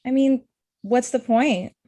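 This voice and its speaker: A woman's voice: a little high, slow pace, dark tone, no room sound. A young woman acting a blunt, hurt, confrontational ex-girlfriend in her thirties in a comedy-drama table read. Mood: blunt, cold. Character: Amita, blunt, hurt ex-girlfriend, thirty-five, sharp-tongued lawyer.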